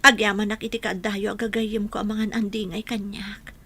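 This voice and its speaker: An actress playing a weary, grieving older widow in a radio drama. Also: female voice, medium pitch, level tone, moderate pace, sharp tone, husky. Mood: weary, grateful. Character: grief-stricken older widow Aurelia, weary and grateful. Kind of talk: radio drama acting in Ilocano.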